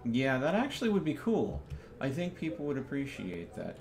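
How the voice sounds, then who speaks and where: A man's voice: medium pitch, huge pitch swings, moderate pace, a little mellow. A man hosting a live gaming stream, chatting casually as he plays. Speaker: video game streamer, host. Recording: clearly noisy home stream recording.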